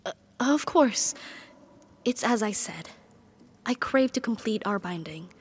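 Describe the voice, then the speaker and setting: A woman playing a powerful demon devoted to her master in an intimate ASMR roleplay, speaking formally in a soft, breathy voice that often drops to a whisper. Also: mid-range voice, animated. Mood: shy, disbelieving, then eager, pleading. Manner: formal, devoted, slow and hesitant phrasing.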